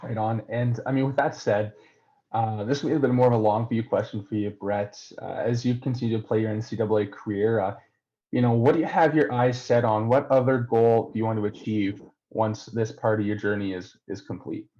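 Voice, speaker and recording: A man co-hosting a podcast interview, asking questions in a measured way. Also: lowish voice, steady tone, mellow. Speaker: podcast co-host. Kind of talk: casual interview. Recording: dull lo-fi audio.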